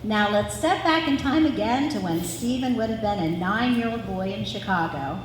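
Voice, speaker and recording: A woman's voice: mid-range voice, moderate pace. A woman reading a scripted family story aloud to a live audience. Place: large reverberant room at a family gathering. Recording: very echoey hall with clear background noise.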